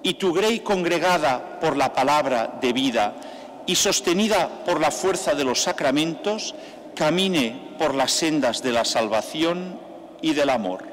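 Slow older priest in a reverberant church. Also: male voice, on the high side, animated. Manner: slow, measured liturgical delivery. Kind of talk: leading a church mass. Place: large church interior. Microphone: stand mic at the altar. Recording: long church reverb, faint background noise.

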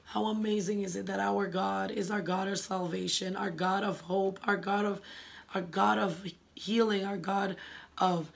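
A young woman, hoarse and raspy from a cold, sharing her faith in an earnest, emotional vlog from her living room. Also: medium pitch, level tone, unhurried. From New England. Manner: earnest, emotional, gestures a lot with her hands. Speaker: vlogger.

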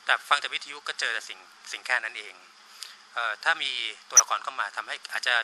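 A man talks quickly in an informal interview, explaining as he goes. His voice is mid-pitched and very sharp, picked up by a handheld mic in a small office. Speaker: radio drama producer being interviewed. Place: small studio office, indoors. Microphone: handheld reporter microphone. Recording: noisy room, handheld interview microphone.

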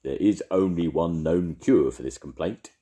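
A man's voice: deep voice, moderate pace, mellow, natural voice. A man voicing a bossy, self-confident male caterpillar in a storybook, declaring things pompously.

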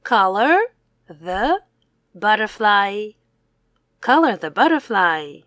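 A young woman teacher reading aloud a simple language lesson for children, saying words deliberately and separately, with exclaimed prompts. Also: medium pitch, big pitch swings, fairly bright tone, no echo, studio quality. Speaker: English teacher.

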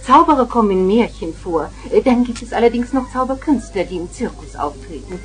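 An actress playing a sceptical, schoolmarmish teacher in a 1970s German children's radio play. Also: female voice, fairly high pitch, lively intonation, a little fast, soft background music, close to an everyday voice, low-quality recording. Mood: stern, dismissive. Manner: schoolmarmish, questioning. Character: sceptical, brisk female schoolteacher, sternly practical.

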